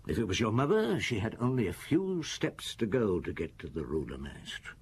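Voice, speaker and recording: An actor playing a shrewd middle-aged police chief in a radio drama, interrogating a suspect in an unhurried, cutting way with a husky voice. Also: male voice, lowish voice, big pitch swings, slight background noise. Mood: probing.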